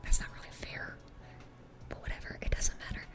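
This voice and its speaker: A woman's voice: brisk, lightly acted. A woman voicing a sweet, dorky demon girl in a whispered ASMR roleplay, rambling and stammering in an eager, awkward way. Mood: rambling, earnest.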